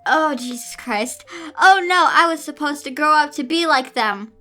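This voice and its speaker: A woman's voice: high voice, animated, normal speed, bright, forceful tone, faint music behind. A woman hosting a casual story reading, giving dramatic, exasperated and sarcastic running commentary. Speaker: host reading fan fiction aloud.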